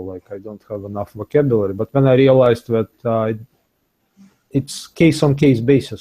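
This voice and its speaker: A man speaking English as an in a casual interview, with halting, repetitive phrasing. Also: medium pitch, dark tone. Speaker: interview guest, English learner.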